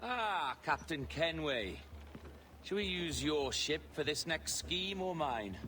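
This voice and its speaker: An actor playing a cunning, cynical older pirate captain with an eloquent, theatrical delivery in a video game. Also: male voice, fairly high pitch, lively intonation, slow, pushed and bright, soft background music, heavily acted. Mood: sly, menacing. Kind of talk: video game cutscene acting.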